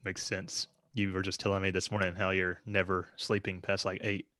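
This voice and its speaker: A man's voice: low-pitched, level tone, normal speed, sharp tone, no room sound. A man co-hosting a podcast in casual conversation. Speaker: podcast co-host. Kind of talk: casual chat.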